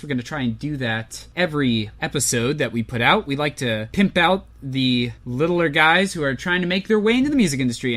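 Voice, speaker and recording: Fast-talking young male podcast host. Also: mid-range voice, fairly bright tone. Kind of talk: casual podcast chat.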